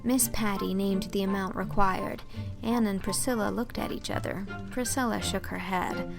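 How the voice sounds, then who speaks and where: Expressive female storyteller with music behind. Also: medium pitch, moderate pace, creaky. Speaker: audiobook narrator. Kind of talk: scripted literary narration.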